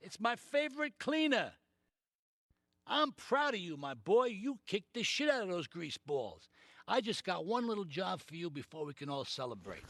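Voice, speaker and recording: A swaggering video game mafia boss. His voice is gravelly and swings widely in pitch. He is emphatic, proud and menacing, recorded close and dry. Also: sing-song, normal speed, fairly bright tone, no room sound, full character voice. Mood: jovial, proud. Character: boisterous Italian-American mafia boss, proud yet menacing. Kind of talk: video game cutscene acting.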